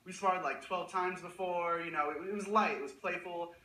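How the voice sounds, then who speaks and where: A man's voice: high-pitched, pushed and bright, distant mic. A man testifying as plaintiff in a TV courtroom, defensive in his account. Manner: quick, defensive. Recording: echoey courtroom.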